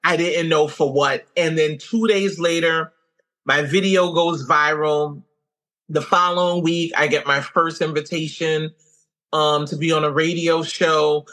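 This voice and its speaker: A middle-aged woman from Guyana recounts her story as an interview guest. Her tone is bright and forceful. Her pitch leaps when she acts out her own past reactions. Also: a little slow. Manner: animated, wide pitch swings when quoting herself. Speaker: comedian guest.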